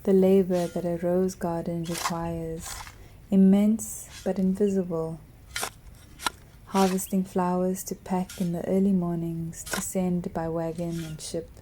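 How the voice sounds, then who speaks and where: Woman narrating real slow and soft, low flat voice, kinda whispery at times. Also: noisy background. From South Africa. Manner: soft, hushed, drifting into whispers.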